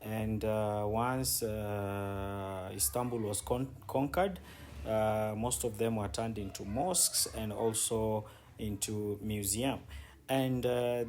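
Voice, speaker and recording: A man giving casual, conversational travel commentary as he guides viewers around, often repeating words for emphasis. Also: on the low side. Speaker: travel vlogger and city guide. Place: outdoors on city streets. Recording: background noise, outdoor street ambience.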